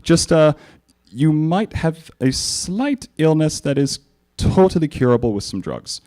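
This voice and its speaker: Flustered stallion doctor, a man putting him on, hesitant and stammering, a bit higher. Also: very animated, unhurried, darkish tone. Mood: nervous. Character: nervous male pony doctor, stammering. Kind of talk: live comedic dramatic reading.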